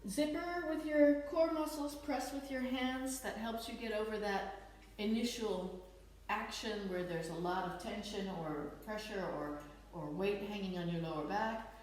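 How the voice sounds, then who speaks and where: A woman fitness instructor with a slight Dutch accent, leading a guided stretching class with gentle, encouraging cues. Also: medium pitch, a little slow, far from the mic. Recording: echoey room, voice off-mic.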